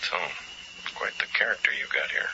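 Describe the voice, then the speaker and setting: An actor playing a businesslike, easygoing hospital colleague in a 1980s radio drama, matter-of-fact with hesitant pauses. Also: male voice, natural voice. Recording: dull, noisy 1980s radio recording.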